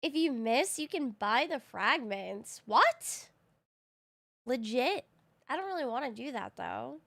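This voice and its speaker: A high, slightly groggy woman's voice you would hear on a laid-back game stream, chatting informally with viewers soon after waking. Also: animated, moderate pace, gravelly, a bit bright. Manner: slangy, informal. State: groggy voice, recently woken. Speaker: live streamer. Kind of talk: casual gaming stream chat.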